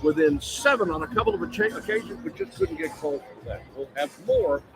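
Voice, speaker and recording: A man's voice: high voice, huge pitch swings, brisk. A man doing live play-by-play commentary for sports radio, speaking into a broadcast microphone from an arena. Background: loud music.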